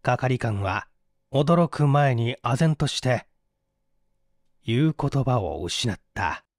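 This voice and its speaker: A man's voice: medium pitch, expressive, takes it slowly, no room sound, clean studio sound. A man reading a mystery story as an audiobook narrator, in a formal, theatrical style.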